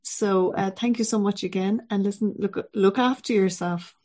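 A woman hosting a podcast, gently interviewing a guest and reading a news roundup. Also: medium pitch, steady tone, moderate pace. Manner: gentle, friendly, conversational. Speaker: podcast host.

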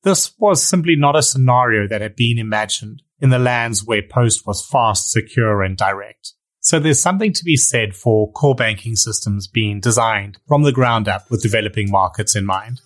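A man with a South African accent hosting a podcast, reading a measured, explanatory intro and then asking interview questions. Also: fairly low pitch. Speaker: podcast host.

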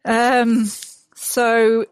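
A woman's voice: on the high side, monotone, drawn-out words, clear, resonant tone, dry recording. Enthusiastic woman, dry close sound. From Yorkshire, England. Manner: enthusiastic, restarts often, many ums. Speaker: guest, mud mason. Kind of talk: casual interview chat.

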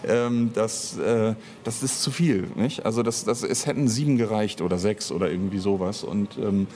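Low-voiced, reflective man being interviewed. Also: normal speed, a little mellow, slight background noise. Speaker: interview guest, audio drama writer and producer. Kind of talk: casual conversational interview.

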